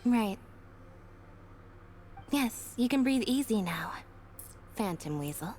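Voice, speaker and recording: A woman playing a calm, cool young magician's assistant in a video game, composed and deadpan. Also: a little high, slow pace, a little hiss or hum. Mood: coolly knowing.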